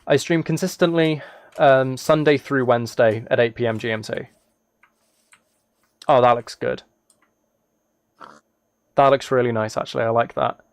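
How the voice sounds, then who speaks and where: A man's voice: medium pitch, fairly even intonation, moderate pace, pauses often, clear, resonant tone, no echo. A man chatting casually on a livestream as he plays a game, rambling and thinking aloud. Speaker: live streamer. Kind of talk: casual livestream chat while gaming, reading chat.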